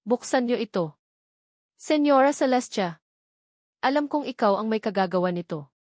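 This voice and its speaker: A woman's voice: a little high, lively intonation, normal speed, darkish tone, dry recording, studio quality, close to an everyday voice. Desperate captive young woman, as a narrator plays her. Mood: desperate pleading. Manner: pleading, emotional.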